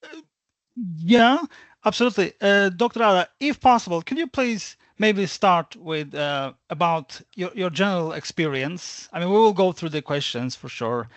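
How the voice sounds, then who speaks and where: A man's voice: high-pitched, lively intonation, normal speed, dry recording. A man hosting an online session who asks his guest polite, hesitant questions. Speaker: host. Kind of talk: interview moderator.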